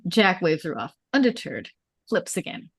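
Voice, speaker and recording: Woman narrator reading out a script at a table read, slightly low voice, pretty dry sound. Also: very animated, moderate pace, slightly rough, no room sound.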